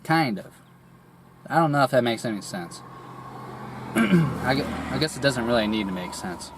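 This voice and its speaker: A young man getting over a cold, his voice a little raspy, telling a personal story slowly with many pauses, fillers and throat clearing. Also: mid-range voice, expressive, noisy background. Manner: hesitant, rambling, many fillers and restarts, clears throat often. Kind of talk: candid personal monologue.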